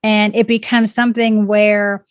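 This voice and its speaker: A woman's voice: mid-range voice, little pitch movement, measured pace, dry recording, studio quality. A woman speaking as a guest in a conversation, earnest and encouraging, speaking in positive affirmations about personal growth. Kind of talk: reflective conversation.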